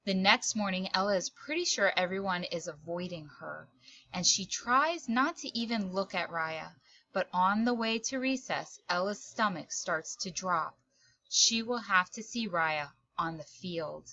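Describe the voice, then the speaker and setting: A woman storyteller reading a children's picture book aloud in expressive narration, with dramatic, deliberate phrasing. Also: mid-range voice, lo-fi sound.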